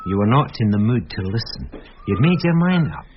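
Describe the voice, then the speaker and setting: An actor playing a dogged, deferential police sergeant with a British accent in a radio crime drama, respectful and procedural. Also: male voice, lowish voice, very animated, brisk. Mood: quietly defensive. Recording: dull, hissy old radio recording with clicks.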